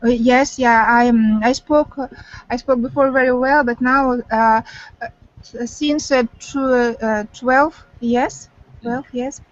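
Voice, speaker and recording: A woman's voice: a little high, fairly even intonation, slow, no room sound. Hesitant woman from Russia learning English. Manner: hesitant, many fillers and restarts in English. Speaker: student. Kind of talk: halting learner conversation. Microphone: video call. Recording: dry, lo-fi call audio.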